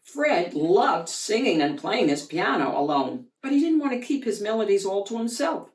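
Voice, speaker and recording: A woman reading a children's picture book aloud in an expressive, deliberate storytelling manner, with emphasized words. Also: medium pitch. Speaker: children's book reader, host of a read-aloud channel. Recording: roomy, echoey, distant from the mic.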